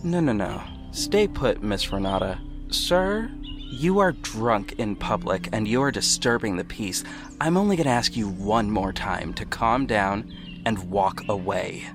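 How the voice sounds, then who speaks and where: A man playing a protective, self-assured ex-cop detective with a measured, firm delivery in an audio drama. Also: lowish voice, lively intonation. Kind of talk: first-person audio drama narration and dialogue. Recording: music bed behind the voice. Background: music.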